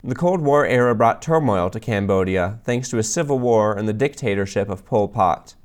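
A man hosting a podcast, reading scripted narration in an even, measured way. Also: on the low side. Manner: measured, even read-aloud delivery. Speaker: podcast host, librarian.

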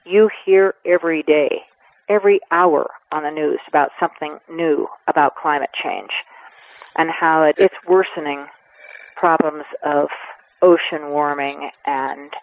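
A woman in her seventies giving a radio interview, talking earnestly and with emphasis, pausing to weigh her words. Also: sits low. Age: 70s. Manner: earnest and emphatic, with measured pauses. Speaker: conservation advocate guest. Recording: tinny phone line, dry.

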